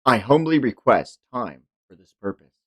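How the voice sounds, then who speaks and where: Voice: medium pitch, expressive, normal speed, husky. A streamer voicing a solemn, humble manga knight who speaks formally and with deference. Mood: humble, earnest. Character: solemn, humble knight respectfully addressing a king.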